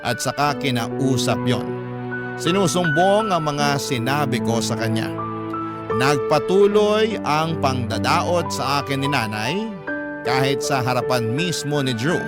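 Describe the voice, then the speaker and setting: A man's voice: mid-range voice, lively intonation, unhurried, loud music behind the voice. A man with a Filipino accent narrating an emotional first-person story in the style of radio drama.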